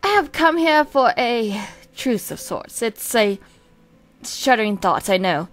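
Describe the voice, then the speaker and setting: A woman's voice: on the high side, very animated, measured pace. A woman voicing a proud, prim male twin in an amateur comic dub, haughty and theatrical but grudgingly kind. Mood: awkward, reluctant.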